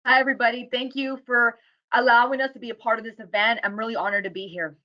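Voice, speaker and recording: American woman on a video call, a bit high-pitched, earnest and open, muffled dull audio. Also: little pitch movement, quick pace. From United States. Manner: candid, earnest, with restarts. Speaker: guest speaker. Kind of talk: personal testimony in interview.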